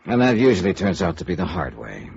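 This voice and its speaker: A man's voice: sits low, very animated, fast talker, vocal fry, a little mellow, husky, heavily acted. A man acting in a vintage radio noir drama as a cool, sardonic investigator with a dry, needling, self-assured manner. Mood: probing. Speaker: radio detective lead. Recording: muffled, echoey vintage radio recording.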